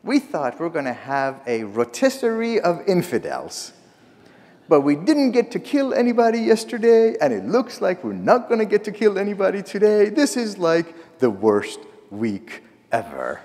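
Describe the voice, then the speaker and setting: A man voicing a bloodthirsty male mob of fanatics who whine about missing a killing, his voice raised high and loud, echoing in a church. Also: huge pitch swings, normal speed. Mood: whiny, gleeful. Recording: long church reverb.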